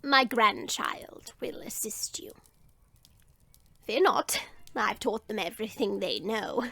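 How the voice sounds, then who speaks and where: A woman acting a wise, composed old grandmother in a fantasy audio drama, speaking formally and with gentle firmness. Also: medium pitch, animated, creaky, dry recording, full character voice. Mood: reassuring. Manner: measured, formal, gently firm.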